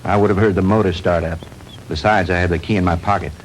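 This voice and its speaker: An actor playing a level-headed, reassuring man taking charge in a 1960s low-budget film. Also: steady tone, quick pace, a little hiss or hum, close to an everyday voice, low-quality recording. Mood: calm, puzzled. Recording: old film soundtrack with musical score.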